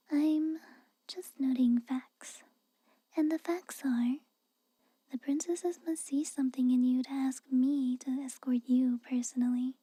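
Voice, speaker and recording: A young tsundere castle maid, acted by a woman, whispers close and dry in an ASMR fantasy roleplay. She is haughty and guarded, chiding with reluctant care. Her breathy voice is very mellow and unhurried, with hesitant pauses. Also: high-pitched, no echo, full character voice. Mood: defensive, cautioning.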